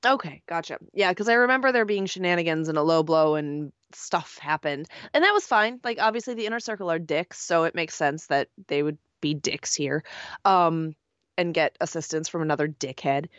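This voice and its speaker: A woman's voice: low-pitched, moderate pace, a bit bright, no echo, dull audio. A woman co-hosting a wrestling podcast, her voice worn from being sick, easygoing and laid-back in casual chat. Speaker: podcast co-host.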